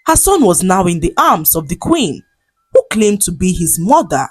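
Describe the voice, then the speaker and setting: A woman with a Nigerian accent narrating a scripted folktale in a dramatic, expressive way. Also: lowish voice, moderate pace, slightly rough, soft background music. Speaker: storyteller.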